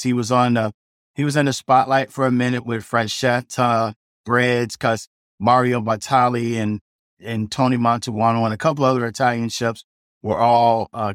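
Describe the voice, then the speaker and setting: A middle-aged chef tells his story in a loose, rambling way, full of restarts and "you know". He speaks at a middle pitch. The sound is dry, with no room around it. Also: level tone, normal speed, clear, resonant tone, no room sound. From South Side of Chicago. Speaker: chef guest. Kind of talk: casual storytelling interview.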